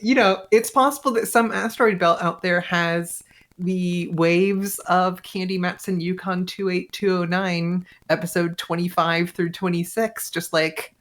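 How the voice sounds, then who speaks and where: A woman's voice: medium pitch, a bit bright. A woman co-hosting a livestream with casual, playful, rambling chat. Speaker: livestream co-host.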